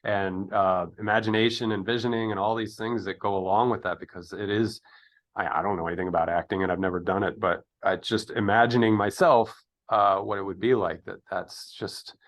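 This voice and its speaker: A man's voice: lowish voice, steady tone, a little fast, fairly bright tone. A man in his fifties hosting a casual interview, talking in a rambling, tangent-prone way. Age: 50s. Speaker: interview host.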